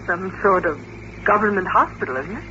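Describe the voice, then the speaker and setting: A muffled, noisy vintage radio recording of a young chorus girl. She is a naive woman with a very lively, swinging intonation, in the manner of 1950s science fiction radio drama. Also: medium pitch, fairly quick. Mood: curious, friendly. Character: friendly, naive young female chorus dancer, engaged, uneasy.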